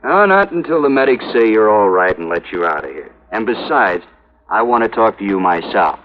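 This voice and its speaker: Brusque, bossy old-radio police sergeant. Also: male voice, on the low side, very animated, normal speed. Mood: brusque, scolding. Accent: American. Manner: brusque, commanding, condescending. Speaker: actor in a supporting role. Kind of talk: 1950s radio drama acting. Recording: tinny old radio, faint noise.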